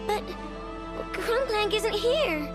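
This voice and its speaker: A woman voicing a tiny, excitable, naive companion sprite in a video game. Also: very high-pitched, drawn-out words, loud music behind the voice, exaggerated voice. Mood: concerned.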